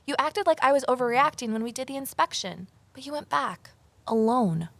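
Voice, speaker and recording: Casting: amateur sleuth woman, slightly high voice, pointed, questioning, expressive audio drama delivery. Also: normal speed, creaky, no room sound, exaggerated voice. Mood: curious, suspicious. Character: Evelyn, sharp, inquisitive amateur sleuth woman. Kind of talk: full-cast audio drama acting, narration and dialogue.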